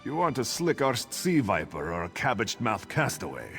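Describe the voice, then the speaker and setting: An actor playing a gruff, sardonic privateer sailor in a fantasy video game, salty and theatrical. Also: male voice, medium pitch, big pitch swings, fairly quick, a bit bright, soft background music. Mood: scornful, challenging. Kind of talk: scripted video game dialogue.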